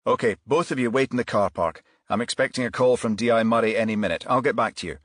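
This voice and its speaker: A man voicing a stern, methodical police detective in an audiobook, formal and measured as he presses his questions. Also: medium pitch, no room sound, clean studio sound, natural voice. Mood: brisk, businesslike.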